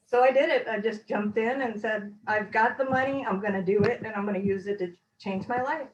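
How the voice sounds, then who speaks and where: A woman being interviewed over a video call, talking earnestly and reflectively in a rambling way, her voice a little hoarse. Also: medium pitch, brisk, highs cut off. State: slightly hoarse. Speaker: course graduate, interviewee. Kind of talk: casual testimonial interview.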